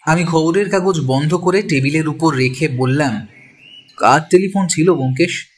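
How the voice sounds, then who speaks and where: A man's voice: fairly high pitch, moderate pace, a little hiss or hum, close to an everyday voice. A man playing a detective's curious companion, telling the story in the first person in an audio drama. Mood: curious, sceptical. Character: detective's male companion narrating, curious and sceptical.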